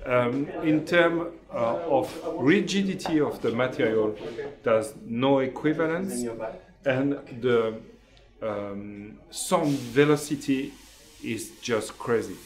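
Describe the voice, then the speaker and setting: A man explaining technical details to visitors in a factory, pausing often to search for words. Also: medium pitch, crowd noise. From France. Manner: halting, frequent uhs, searching for English words. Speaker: company engineer guiding a tour. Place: indoor factory workshop. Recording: roomy echoing workshop.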